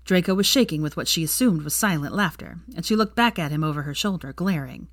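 A woman reading fan fiction aloud as expressive, scripted story narration. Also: deep voice, fast, no echo, studio quality.

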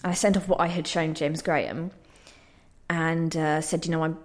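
A woman's voice: deep voice, flat delivery, moderate pace. A young woman giving reflective interview answers in a hesitant, self-deprecating, thoughtful way. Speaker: playwright being interviewed.

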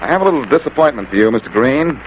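An actor playing a cool, confident amateur detective in a 1940s radio drama, wry and needling. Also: male voice, medium pitch, expressive, racing, natural voice. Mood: accusing, wry. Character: cool, wisecracking amateur detective, sure of himself. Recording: noisy old-time radio recording.

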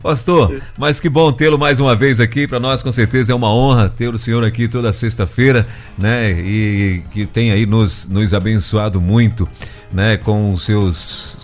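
Chatty Brazilian radio host, faint music. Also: male voice, on the low side. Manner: chatty, many tag questions. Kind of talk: morning radio chat.

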